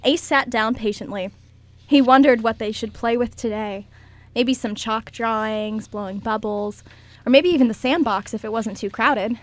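Slightly high-voiced woman narrating, dry sound. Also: normal speed, no room sound. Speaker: narrator on an amateur radio drama show. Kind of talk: scripted story narration.

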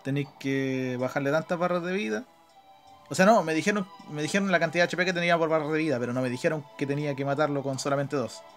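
A man's voice: a little high, fast. A man with a Chilean Spanish accent giving casual, slangy live gameplay commentary, talking partly to himself as a gaming video host. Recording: game music behind. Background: video game music.

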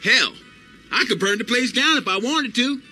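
Showy male ex-athlete turned salesman, game character, lively bright voice, faint music. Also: extremely high pitch, moderate pace, exaggerated voice. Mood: breezy. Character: smooth-talking retired male sports star selling his business. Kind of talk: video game cutscene acting.

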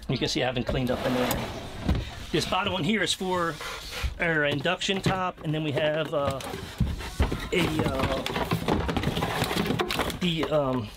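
A man's voice: fairly high pitch, unhurried, pauses often. An American man in his fifties giving a casual, unscripted walkthrough in a plain-spoken, hesitant way, recorded inside a small camper trailer. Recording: clearly noisy location sound with clicks.

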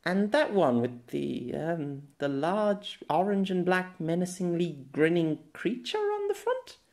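A man voicing a soft but excitable young fantasy noblewoman with a formal, archaic, curious manner. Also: sits high, measured pace, voice pushed up, full character voice.

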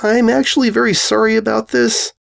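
A man's voice: high voice, level tone, brisk, creaky, pitched above the performer's own voice. A man voicing an awkward, apologetic stallion pony who speaks hesitantly in a comic story.

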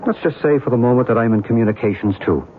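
A man's voice: medium pitch, racing, lightly acted. An actor playing a knowing, courteous and mysterious American man in a 1970s radio drama. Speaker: actor and narrator. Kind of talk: 1970s radio drama acting and first-person narration. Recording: narrow-band, noisy old radio broadcast.

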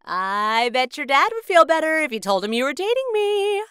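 A woman playing a confident, persuasive thirty-year-old female office worker in a manga dub, assured and expressive. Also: sits high, moderate pace, no room sound, clean studio sound. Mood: eager, playful.

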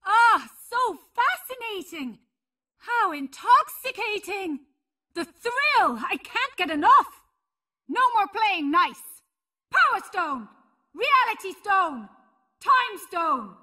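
An actress voicing a seductive, playful, teasing succubus demon in a fighting video game, with a sultry, flirtatious delivery. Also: female voice, very high voice, very animated, extremely slow, sharp tone, exaggerated voice. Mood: excited, battle-ready.

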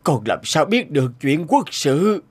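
A man's voice: on the high side, sing-song, a little slow, darkish tone, husky, exaggerated voice. A man voicing an ailing, dutiful old king in a fairy-tale cartoon, weary and grave. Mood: weak, weary.

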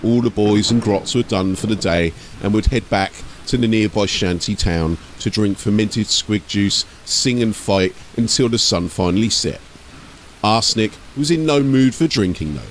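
A man's voice: lowish voice, normal speed, slight background noise, poor audio. A man narrating from a script as an audiobook storyteller and host.

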